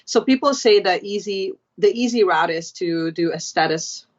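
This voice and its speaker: A woman's voice: medium pitch, moderate pace, dull audio. A woman in her thirties from Hungary, a podcast guest telling her story in casual, chatty talk with lots of fillers. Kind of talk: casual interview chat.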